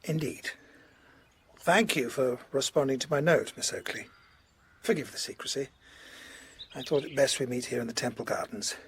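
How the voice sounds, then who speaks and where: A man playing a courteous, earnest Georgian colonel in a period audio drama, recounting gravely in formal, slightly hesitant speech. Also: mid-range voice, slight background noise, natural voice. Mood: courteous reserve. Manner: formal, hesitant, measured storytelling.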